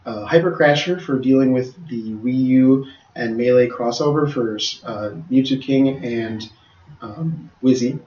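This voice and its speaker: A man's voice: mid-range voice, unhurried. Rambling man recapping from across a roomy space. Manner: rambling, many restarts and fillers. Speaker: tournament organizer on a podcast. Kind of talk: casual podcast recap. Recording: roomy, distant, dull mic.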